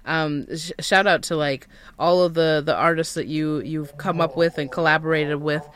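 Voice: medium pitch, steady tone, normal speed, a bit bright. An interview host asking questions with hyped, enthusiastic slang exclamations. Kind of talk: casual interview.

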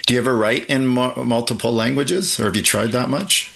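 A man hosting an interview, asking questions in a halting way with many fillers. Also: mid-range voice, vocal fry, fairly bright tone. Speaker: interview host. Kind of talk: casual interview chat.

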